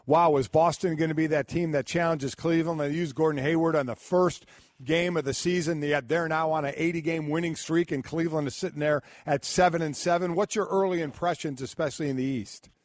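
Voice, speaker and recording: A man co-hosting a sports radio show in a studio, casual and quick to chime in with agreement. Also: on the high side, steady tone, no room sound. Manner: short agreeing interjections, casual. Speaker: radio co-host.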